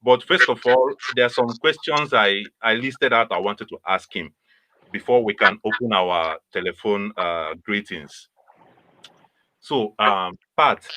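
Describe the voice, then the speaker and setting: A man's voice: fairly low pitch, measured pace, bright, forceful tone, dry recording, rough recording. A young adult man, hosting a casual interview on a video call with friendly questions. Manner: friendly, laughing, plain questions. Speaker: host. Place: indoors, small room with red wall. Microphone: webcam mic on video call.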